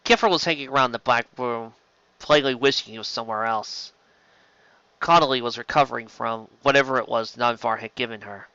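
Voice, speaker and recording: A man's voice: mid-range voice, a little fast, sharp tone, clean tone, no echo, lo-fi sound. A man reading science fiction aloud as dramatic scripted narration. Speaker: reader of fan fiction.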